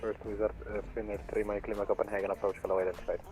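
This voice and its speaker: A man's voice: on the low side, level tone, rapid-fire, a little mellow. A man making clipped aviation calls over the radio. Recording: dull radio, faint music behind.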